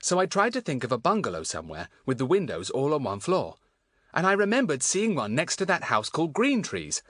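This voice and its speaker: A man's voice: on the high side, huge pitch swings, fairly quick, a bit bright, no echo, clean studio sound, voice pushed up, exaggerated voice. A man voicing a keen, good-natured schoolboy in a children's audiobook, earnest and friendly. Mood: eager recounting.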